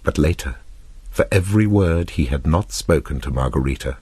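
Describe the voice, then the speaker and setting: A man narrating a literary short story in a measured, expressive storytelling manner with deliberate pauses. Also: very deep voice, husky, no echo.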